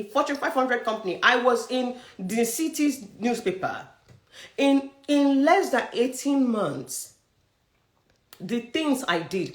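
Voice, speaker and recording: A young Nigerian woman with a Nigerian accent, giving a forceful, preachy motivational career talk that challenges her listeners directly. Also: medium pitch, roomy sound. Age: young adult. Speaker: career coach.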